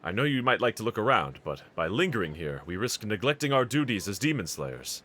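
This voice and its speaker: A man performs a bold anime swordsman who slays demons, earnest and reassuring at heart. His voice is bright and very animated in pitch. He speaks in formal, courteous sentences, as if in an audio roleplay addressed to a trusted companion. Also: brisk, full character voice. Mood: upbeat, dutiful.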